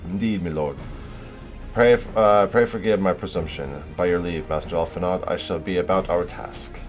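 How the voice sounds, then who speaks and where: A man's voice: low-pitched, moderate pace, soft background music, lightly acted. A man reading aloud the formal lines of a loyal female ninja retainer from a game, in his own plain voice. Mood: deferential.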